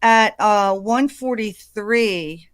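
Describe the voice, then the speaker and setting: A woman's voice: medium pitch, drawn-out words, no echo, clean studio sound. A woman doing casual true crime commentary in a hesitant way, with many ums and uhs.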